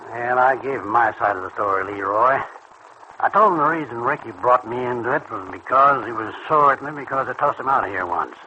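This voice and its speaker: An actor in an old radio serial playing a stubborn small-time bootlegging shopkeeper who talks in blunt, folksy slang. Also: male voice, medium pitch, brisk, natural voice. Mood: stubbornly defiant. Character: stubborn small-time male bootlegging sweet-shop keeper called Pop. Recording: muffled, faintly noisy old radio recording.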